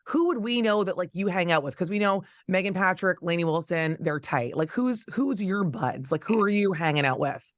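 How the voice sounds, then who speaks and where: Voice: medium pitch, lively intonation, normal speed, few pauses, no echo, studio quality. A radio host doing a casual, chatty interview in an informal and gushing manner.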